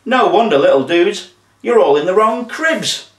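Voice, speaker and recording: A man's voice: high voice, expressive, normal speed, pitched above the performer's own voice. A man voicing a cheerful, laid-back zombie who talks in drawling surfer slang for a children's story. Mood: laid-back, friendly. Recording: roomy, distant microphone.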